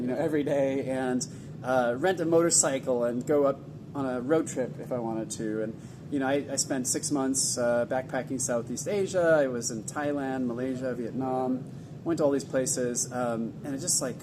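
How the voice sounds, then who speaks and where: Enthusiastic male event host interviewing, noisy background with a low rumble. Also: a little fast. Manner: enthusiastic, upbeat. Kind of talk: casual interview.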